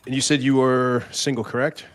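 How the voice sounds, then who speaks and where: A man's voice: medium pitch, flat delivery, a little fast, slight background noise. A man hosting a casual panel podcast, upbeat and keeping the talk moving. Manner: upbeat, keeps things moving. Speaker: podcast host and moderator.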